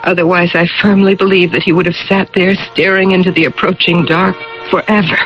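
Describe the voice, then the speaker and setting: A woman's voice: lowish voice, flat delivery, brisk, soft background music, close to an everyday voice. An actress playing a devoted, anxious middle-aged wife in a radio drama, earnest and urgent as she tells and lives her story. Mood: troubled narrating. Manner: earnest, urgent, repeating herself. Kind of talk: radio drama acting and first-person narration. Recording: muffled, noisy old radio broadcast.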